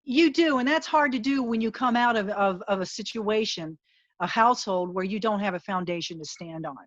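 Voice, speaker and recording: A woman's voice: medium pitch, fairly quick, no room sound. A woman guest in an interview, speaking in an earnest, emphatic and motivational way. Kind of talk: candid interview talk. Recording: dull lo-fi dry sound.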